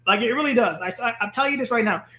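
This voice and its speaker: A man's voice: very high voice, very fast, sharp tone, roomy sound. A man talking casually and defensively to camera in a played clip, saying "bro" often.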